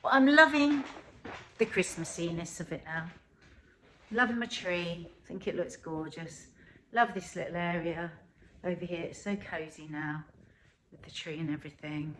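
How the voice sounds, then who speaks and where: Chatty, effusive British woman, lowish voice. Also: normal speed, fairly bright tone, a little hiss or hum. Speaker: family vlogger. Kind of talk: casual chat to camera.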